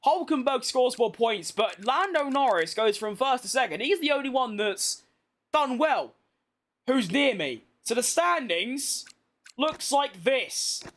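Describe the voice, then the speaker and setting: Streamer, British man, worn out after a long day, rambling and self-mocking, pitched very high. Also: lively intonation, measured pace, a bit bright. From Britain. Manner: chatty, rambling, self-deprecating. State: tired from being awake all day. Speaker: gaming streamer. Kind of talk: casual live-stream gameplay chat.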